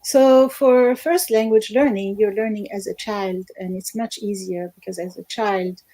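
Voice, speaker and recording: A woman, a language teacher, giving measured explanatory interview answers over a video call, with frequent ums. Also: mid-range voice, fairly even intonation, dark tone, no echo, low-quality recording. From Algerian American, born in Morocco. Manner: measured, explanatory, many fillers.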